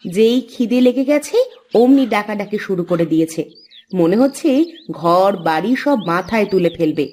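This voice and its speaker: A woman's voice: medium pitch, lively intonation, a little mellow, lightly acted. A woman voicing a grumbling, hardworking village housewife in a cartoon, with a scolding domestic manner. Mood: exasperated. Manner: complaining, brisk domestic scolding. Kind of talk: cartoon dialogue.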